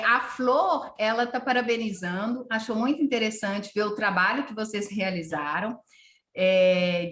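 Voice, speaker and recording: Halting woman host, roomy call audio. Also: medium pitch, a bit bright. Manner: hesitant, many fillers and restarts. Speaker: host of an online lecture series. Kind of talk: casual video-call chat. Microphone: video call.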